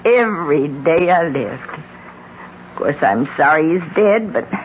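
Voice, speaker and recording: Slow-speaking, weary older mother, radio drama. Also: sits low, expressive. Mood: weary, bitter. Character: weary older mother, bitterly relieved yet puzzled. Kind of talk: 1970s American radio drama acting. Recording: muffled 1970s AM radio recording with faint noise.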